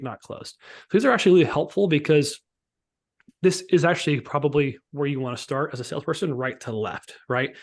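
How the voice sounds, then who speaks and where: A man giving an informal, instructional software walkthrough as a sales trainer on a live call, often checking that listeners follow. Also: on the high side. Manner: informal, instructional, checks for understanding with tags like "right?" and "okay?". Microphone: online group call.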